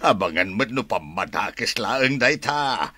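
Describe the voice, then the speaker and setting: A man's voice: lowish voice, expressive, unhurried, bright, forceful tone, no echo, studio quality, full character voice. A man playing an exasperated family man in a radio drama, with an Ilocano accent.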